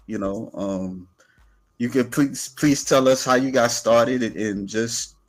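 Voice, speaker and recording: A man's voice: medium pitch, slow pace. A man hosting an interview in a casual, hesitant way, with many fillers. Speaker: podcast host.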